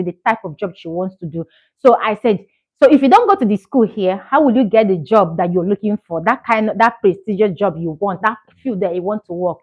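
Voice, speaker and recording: A woman's voice: medium pitch, dull audio. A Nigerian woman in her thirties chatting earnestly to camera as a vlogger, encouraging and repeating herself for emphasis. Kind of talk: casual chat to camera.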